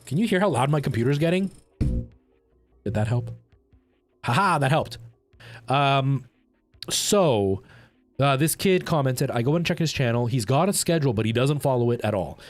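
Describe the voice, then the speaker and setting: A male livestream host with a mid-pitched voice and music playing behind him. He chats casually with viewers, rambling in an animated, colloquial way. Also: normal speed, pauses often. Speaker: live streamer and host.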